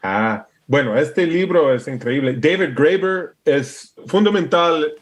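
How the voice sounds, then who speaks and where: A man's voice: on the high side, expressive, slow pace. A man from Alaska speaking Spanish with an American English accent, a guest in a casual interview who restarts often and hunts for words. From Alaska, USA. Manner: hesitant, searching for words with many restarts. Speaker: podcast guest.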